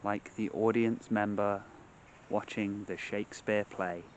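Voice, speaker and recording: A man guides a meditation in a fairly low voice. He goes very slowly, setting out short, measured phrases with long pauses between them. Faint outdoor nature sounds sit behind him. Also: steady tone, a little mellow, slight background noise. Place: outdoors among trees.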